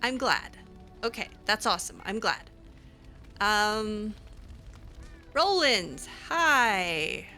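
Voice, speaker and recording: A woman's voice: medium pitch, expressive, very slow, pauses often, bright, forceful tone, soft background music. A woman improvising in character over a fantasy game, speaking casually with a hesitant, sassy manner. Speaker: game streamer roleplaying her character.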